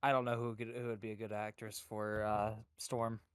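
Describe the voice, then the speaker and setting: A man co-hosting a podcast in casual chat, hesitant and thinking out loud as he goes. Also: fairly low pitch, little pitch movement, normal speed, smooth, dry recording, studio quality. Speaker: podcast co-host.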